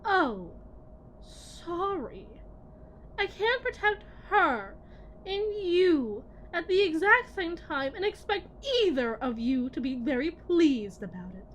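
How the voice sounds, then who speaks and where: A woman playing a cold, condescending lead technician in a scripted ASMR roleplay, speaking in a measured way that drifts into whispers. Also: very high voice, sing-song, fairly bright tone, a little hiss or hum, exaggerated voice, low-quality recording. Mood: stern, condescending. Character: cold, condescending female lead technician at shady tech company.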